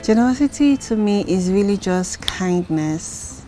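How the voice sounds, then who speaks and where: A woman's voice: mid-range voice, lively intonation, measured pace, warm tone, faint music behind. A woman from Nigeria, a programs manager, speaking earnestly in an interview.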